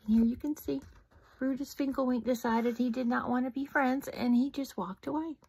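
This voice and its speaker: A woman in her fifties reading a picture book aloud at home into a phone camera, animated, with whispered asides. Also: high voice, normal speed, slightly rough, poor audio. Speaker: storybook reader. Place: home room indoors. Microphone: phone camera close up.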